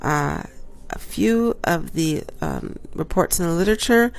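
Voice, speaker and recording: A woman doctor giving a casual health talk to her viewers, congested from a cold and pausing often with ums and self-corrections. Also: on the low side, expressive, gravelly. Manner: unhurried, halting with frequent ums and self-corrections. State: congested from a cold, occasional cough. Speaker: obstetrician gynecologist hosting a health show. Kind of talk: casual informational talk to camera.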